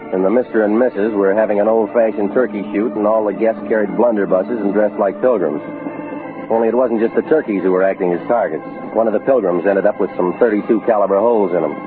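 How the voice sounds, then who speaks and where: Hard-boiled male private eye for an actor, low, rapid, deadpan wisecracks, 1940s radio noir on a muffled old recording. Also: close to an everyday voice. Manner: terse, deadpan, wry.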